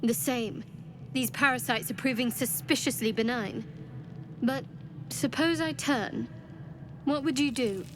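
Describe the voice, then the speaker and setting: A woman's voice: high-pitched, unhurried. A woman playing a guarded young female adventurer in a fantasy video game, with a cool, dryly teasing manner. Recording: clear background noise.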